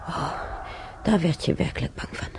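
An actress playing an older woman in a radio play, musing haltingly and sorrowfully over the past in a husky, gravelly voice. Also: sits low, noisy background. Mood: anxious, bitter. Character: older woman, sorrowful, brooding, recalling war years. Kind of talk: radio play monologue, reminiscing.

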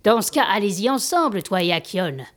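A woman's voice: mid-range voice, big pitch swings, fairly quick, close to an everyday voice. An actress playing a blunt-spoken, hospitable female master in an audio drama, speaking with familiar, instructive assurance. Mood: calm, instructive.

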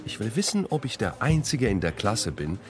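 Low, animated man narrating over music. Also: normal speed. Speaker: narrator and author. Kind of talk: scripted first-person radio play narration.

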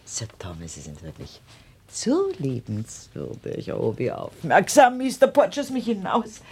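A woman's voice: on the low side, huge pitch swings, measured pace, a little mellow, heavily acted. A woman playing a fussy, scatterbrained duchess in a radio play, dithering, stammering and effusively grateful. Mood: flustered, gushing. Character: scatterbrained, fussy, fluttery old-fashioned duchess.

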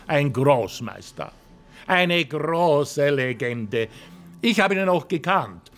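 An actor playing a courteous, flattering Viennese host of an old television talk show in a radio drama. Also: male voice, on the high side. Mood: patient, admiring. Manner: courteous, showman-like, unhurried.

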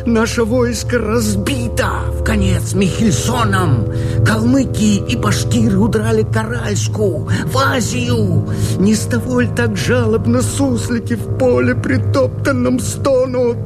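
An actor playing a despairing rebel fighter haunted by defeat, declaiming verse theatrically in a radio play. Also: male voice, very high voice, fairly even intonation. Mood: frantic despair. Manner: slow, anguished, swelling from hushed whispers to cries. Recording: loud dramatic music behind the voice.

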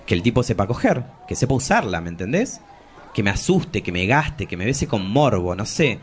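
An actor playing a bawdy, melancholic travesti poet in their forties in a theatrical, confessional radio drama. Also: male voice, fairly low pitch, sing-song, normal speed. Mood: frank. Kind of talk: radio drama dialogue and monologue.

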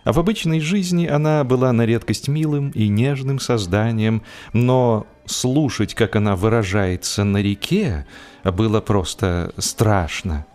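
A man narrating humorous literary prose in an expressive, measured storytelling manner with deliberate pauses. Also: lowish voice. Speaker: audiobook narrator. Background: music.

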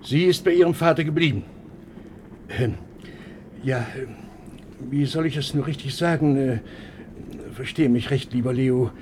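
A man's voice: medium pitch, measured pace, long pauses, a little mellow, lightly acted. An actor playing a flustered, evasive middle-aged father in a German radio play, stammering and overpolite. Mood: flustered, apologetic. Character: flustered, evasive, fussy father of grown children. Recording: noisy old radio recording.

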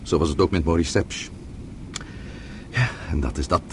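An actor in a radio drama playing a worried, courteous husband, hesitant and polite, with a very husky voice. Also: male voice, a bass voice, normal speed, background noise. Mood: tender, attentive. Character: worried, courteous husband, deferential to his father-in-law.

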